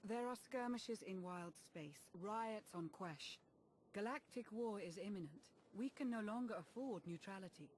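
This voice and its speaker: An actress playing a loyal adviser in a video game, speaking in a calm, formal, deliberate way. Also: female voice, mid-range voice, slight background noise, natural voice. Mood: composed. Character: composed, loyal female adviser to a commander. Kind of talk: video game cutscene acting.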